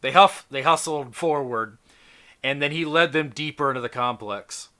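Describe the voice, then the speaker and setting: A man's voice: medium pitch, normal speed, pushed and bright. A man narrating a tabletop role-playing game as the game master.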